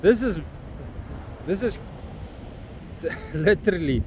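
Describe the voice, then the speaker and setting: A man's voice: high voice, expressive, slow. A man in his fifties with a South African accent, walking a windy beach and talking bluntly into a phone camera, swearing as he rants. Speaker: vlogger. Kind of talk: outdoor walking vlog. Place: windy beach at an estuary mouth, outdoors. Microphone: phone camera held at arm's length. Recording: wind and outdoor noise.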